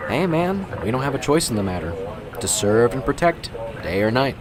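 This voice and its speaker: A man playing an earnest, dutiful young police officer in an amateur radio drama, measured and by-the-book. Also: medium pitch, sing-song, crowd noise, close to an everyday voice. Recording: clearly noisy, vehicle-like background ambience.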